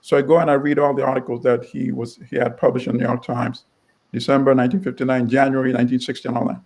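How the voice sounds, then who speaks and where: Middle-aged man from Uganda, publisher as interview guest; gravelly, measured, wry delivery. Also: medium pitch, level tone, a little mellow. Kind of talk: storytelling interview answer.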